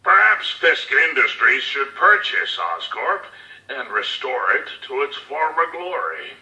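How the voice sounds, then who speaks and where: Calculating mob boss tycoon for a game, measured n condescending, really echoey, bit off the mic. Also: male voice, medium pitch, very animated, pushed and bright, muffled recording, full character voice. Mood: solemn, grandiose. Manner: measured, menacing, articulate, condescending. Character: cold, calculating male crime boss tycoon dictating orders. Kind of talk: dramatic video game audio log monologue. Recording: roomy, slightly distant audio log.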